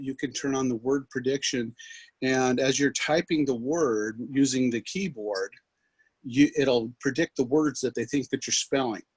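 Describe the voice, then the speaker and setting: A man giving an instructional software demonstration as a trainer, explaining in a halting way. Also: medium pitch, husky, no echo, poor audio. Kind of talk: instructional screen demonstration, loosely scripted.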